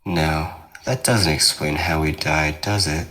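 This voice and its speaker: A young male ghost twin played by a man speaks in a deep voice with a sharp, forceful edge. He teases and coaxes in a measured way, with obsessive menace, as in an ASMR horror roleplay. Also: exaggerated voice. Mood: matter-of-fact. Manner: taunting, measured, darkly coaxing.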